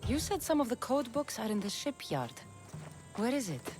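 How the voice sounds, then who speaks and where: Bold young seafaring mercenary woman, lively intonation, video game drama, music behind. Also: a little high, moderate pace. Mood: resolute. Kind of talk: video game cutscene acting.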